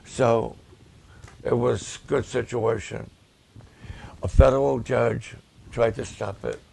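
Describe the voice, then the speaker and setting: Slow gravelly-voiced pastor. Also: male voice, medium pitch, fairly even intonation, dark tone. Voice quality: raspy at times. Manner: slow, deliberate, with emphatic repetitions. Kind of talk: preaching and promoting ministry videos to a livestream audience.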